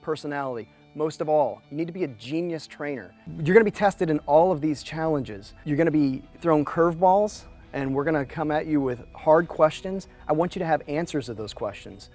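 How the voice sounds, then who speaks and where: A man's voice: a little high, fairly quick, hardly any pauses, darkish tone, faint music behind. A man judging a fitness competition, speaking directly and earnestly in an interview about what he expects. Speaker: competition judge. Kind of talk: talking-head interview.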